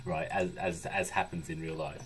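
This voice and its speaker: A man's voice: deep voice, little pitch movement, moderate pace, crowd noise. A man hosting a comedy podcast in casual chat and informal introductions. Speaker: podcast host.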